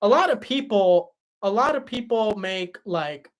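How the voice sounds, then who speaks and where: A young man hosting a livestream and answering chat in a relaxed, chatty way, his voice tired after hours of talking. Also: sits high, a little slow. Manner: relaxed, chatty, many fillers and restarts. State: voice tired after a long stream. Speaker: livestream host reading chat.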